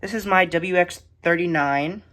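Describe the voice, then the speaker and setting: A collector casually showing items one by one in a halting, list-like way, recorded indoors on a handheld phone. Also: medium pitch, noisy background. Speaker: hobbyist collector presenting a radio collection. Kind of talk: casual show-and-tell narration of a collection. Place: indoor room with shelves of electronics. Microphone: handheld phone behind the camera.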